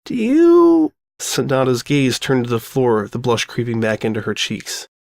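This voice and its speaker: A man's voice: medium pitch, fairly even intonation, moderate pace, dry recording, clean studio sound. A man reading fan fiction as scripted story narration.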